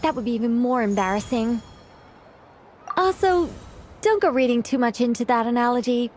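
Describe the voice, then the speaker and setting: A woman's voice: fairly high pitch, lively intonation, normal speed, a little mellow, slight background noise, lightly acted. A woman voicing a proud, needy, whimsical ancient mage in an anime game, theatrical, chatty and self-conscious. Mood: exasperated. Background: music.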